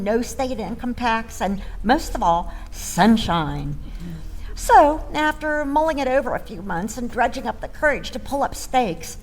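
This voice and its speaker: An actress playing a sentimental, cheerful storyteller in her sixties, telling her tale in a folksy, expressive stage monologue. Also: on the high side, vocal fry, low-quality recording. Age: 60s. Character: sentimental, cheerful older woman storyteller, a Florida transplant.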